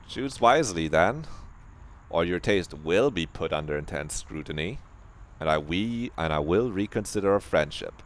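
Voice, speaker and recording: A man's voice: sits low, expressive, normal speed. A man voicing a confident, teasing teenage girl in a visual novel, his voice raised a little.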